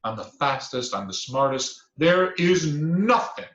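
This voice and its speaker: Guy putting on a big bragging mama bear voice, loud and high, hearty ho-ho laugh, echoey. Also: lively intonation, unhurried. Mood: boastful. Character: boastful big female bear, loud and proud. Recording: roomy, slightly dull recording.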